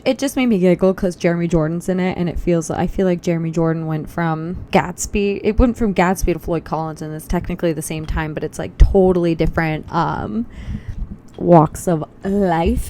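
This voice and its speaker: A young woman chats to her camera, upbeat and thinking aloud as she goes. Her voice is mellow and sounds a little tired. Faint background noise sits under it. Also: on the low side. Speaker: vlogger. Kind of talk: casual vlog chat. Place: walking outdoors on a loud city street.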